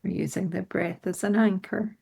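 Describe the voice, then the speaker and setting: A woman leading a guided meditation in a soothing, unhurried manner with gentle instructions. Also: mid-range voice, steady tone, creaky, slightly rough.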